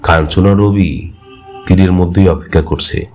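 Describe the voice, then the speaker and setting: A man's voice: sits low, steady tone, unhurried, faint music behind. A man narrating a scripted horror story for a cartoon.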